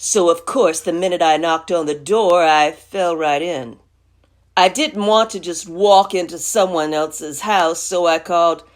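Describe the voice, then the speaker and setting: A woman's voice: fairly low pitch, unhurried, natural voice. A woman voicing a folksy, indignant male wolf who tells his side of a storybook tale with mock innocence. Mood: mock-innocent.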